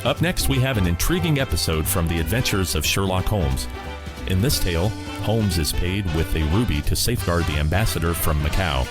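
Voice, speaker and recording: Deep-voiced man over loud guitar music. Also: animated, a little fast. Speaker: radio station host. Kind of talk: modern presenter introducing archive shows.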